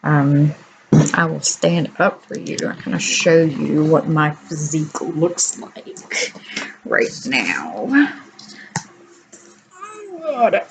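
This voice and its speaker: A woman with a naturally deep voice talking candidly at home to her camera in a casual video diary, unhurried and full of ums. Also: sing-song, vocal fry, background noise. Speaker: vlogger.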